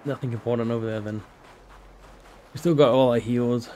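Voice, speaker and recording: A man with a mid-range voice chats his way through a game. His throat is sore and a little hoarse, and he keeps an easy, thinking-aloud manner. A faint hiss of background noise sits under him. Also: mid-range voice, moderate pace. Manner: relaxed, chatty, thinking aloud. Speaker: gaming channel host. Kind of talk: casual gameplay commentary.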